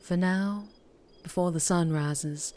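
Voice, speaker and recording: A woman playing a mysterious, wary and teasing female vampire biker with a Southern US accent, in an intimate ASMR roleplay with breathy whispers. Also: warm tone. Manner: slow, low, teasing, lapsing into breathy whispers. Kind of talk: ASMR roleplay, scripted character acting.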